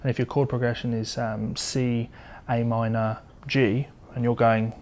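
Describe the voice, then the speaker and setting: A man's voice: medium pitch, flat delivery, measured pace. A man giving an informal guitar lesson, explaining step by step as he demonstrates. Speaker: guitar teacher. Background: electric or acoustic guitar being played.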